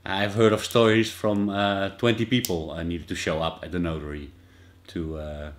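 A man's voice: low-pitched, flat delivery, moderate pace. A man in his thirties with a Dutch accent, explaining steps in a casual vlog with many fillers and restarts, recorded indoors in a small office by a desk camera. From Netherlands. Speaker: vlogger.

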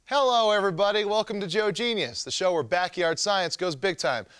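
A man's voice: high voice, expressive, normal speed. An American man in his thirties hosting and narrating a scripted science show in a jokey, theatrical way. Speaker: host.

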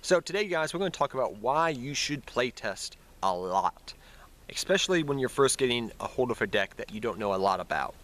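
A man's voice: medium pitch, very animated, fairly quick, fairly bright tone. A young man with a cold that makes him sound nasal, chatting casually to camera outdoors in the snow about card game strategy, rambling and correcting himself. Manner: rambling, self-correcting, addresses viewers as guys. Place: outdoors in snowy woods. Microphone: built-in camera mic at close range. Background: passing cars and planes.

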